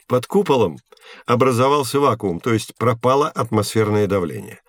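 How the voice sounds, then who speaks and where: An actor playing a patient male physics professor in an educational audio drama, explaining clearly and didactically. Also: mid-range voice, animated, normal speed, dry recording, studio quality, lightly acted. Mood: instructive.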